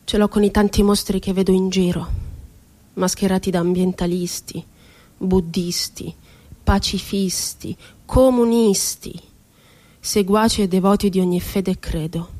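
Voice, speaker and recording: An actress performing a theatrical monologue as an impassioned, rebellious, poetic woman, declaiming with deliberate, emphatic phrasing. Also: medium pitch, steady tone, warm tone, natural voice. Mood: bitter, indignant. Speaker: stage actress.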